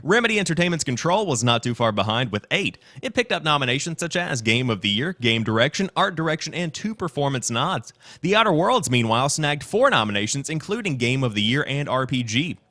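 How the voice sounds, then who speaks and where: A man hosting a gaming news show in an energetic, polished presenter style, mixing scripted reading with commentary. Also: mid-range voice, fairly quick.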